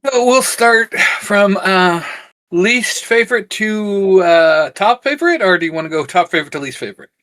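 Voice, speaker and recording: Bright, higher-voiced man in casual chat. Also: expressive, moderate pace. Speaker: co-host giving picks.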